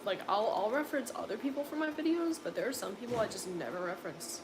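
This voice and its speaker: A young woman from coastal North Carolina with a deep voice, chatting casually on a livestream and answering viewers' comments with lots of filler words. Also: big pitch swings, quick pace, noisy background. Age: young adult. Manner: rambling, filler-heavy, exasperated. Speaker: livestream host.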